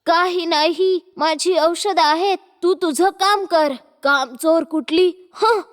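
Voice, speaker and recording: A woman voicing a bossy, nagging middle-aged mother-in-law in a cartoon story, with a sharp manner. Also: very high-pitched, slow, big-room sound. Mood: scolding.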